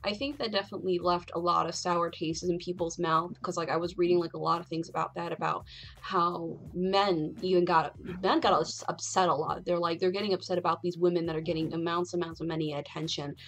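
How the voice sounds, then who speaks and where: A woman's voice: lowish voice, little pitch movement, fairly quick, a bit bright. A woman hosting a live stream, chatting casually and rambling with lots of "like" and "you know". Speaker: streamer host.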